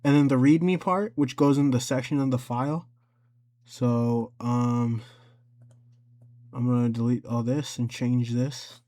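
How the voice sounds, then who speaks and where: A man talking casually and unscripted through a screen-recorded tutorial, halting often, repeating his instructions and correcting himself. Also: medium pitch, steady tone, dark tone. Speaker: tutorial creator.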